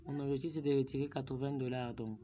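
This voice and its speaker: A woman's voice: extremely low pitch, fairly even intonation, fast talker, warm tone, faint music behind. A woman narrating a phone screen recording as a tutorial, thinking aloud as she types in numbers and sometimes dropping to a murmur. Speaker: vlogger.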